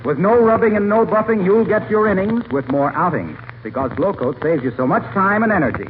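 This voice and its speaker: Animated, high-pitched genial salesman. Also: a little fast. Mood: eager selling. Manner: smooth-talking, eager sales patter. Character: genial, punning salesman pitching household floor wax. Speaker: announcer and pitchman. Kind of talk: 1940s radio comedy commercial. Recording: tinny, noisy vintage radio broadcast.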